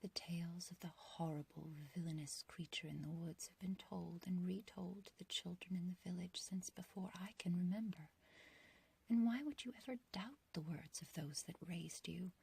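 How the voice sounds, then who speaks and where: A woman playing an ancient, beautiful witch in an ASMR roleplay, obsessively loving and menacing, speaking in a breathy whisper with archaic, theatrical phrasing. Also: fairly low pitch, heavily acted. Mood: smug, mysterious. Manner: slow, theatrical, archaic wording, doting yet condescending.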